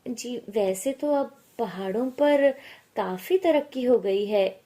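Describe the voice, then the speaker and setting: A woman's voice: fairly high pitch, lively intonation, takes it slowly, warm tone. A woman voicing a chatty middle-aged mother in a story, nagging and exasperated. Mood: coaxing. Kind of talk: acted storytelling dialogue.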